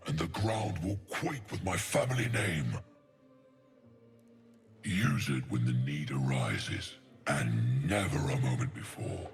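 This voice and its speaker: Very deep, gravelly, pompous ogre. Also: male voice, heavily acted. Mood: pleased, solemn. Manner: pompous, florid, theatrical, savoring words. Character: huge gluttonous, greedy, eloquent male ogre mercenary. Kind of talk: video game character acting.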